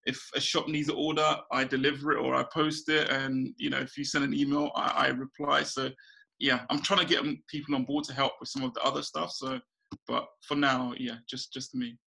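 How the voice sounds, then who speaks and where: Guy on a webcam call from home, from ghana, bright and a bit gravelly, easygoing chatty vibe, keeps restarting sentences. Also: mid-range voice, brisk, poor audio. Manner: relaxed, chatty, laughs often, many restarts. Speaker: guest, small business owner. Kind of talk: casual interview over video call. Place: small room at home. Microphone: video call webcam.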